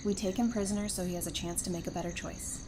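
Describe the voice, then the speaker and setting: A woman's voice: fairly low pitch, steady tone, quick pace, warm tone, a little hiss or hum, lightly acted. Woman playing a brave, compassionate fighter. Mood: pleading. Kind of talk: audio drama acting.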